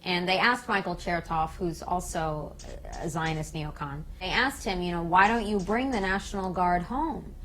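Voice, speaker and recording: A woman's voice: lowish voice, normal speed, a bit bright, slight background noise, rough recording. A woman with an American accent giving emphatic political commentary in an interview, often posing rhetorical questions. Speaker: interviewee, peace activist speaker.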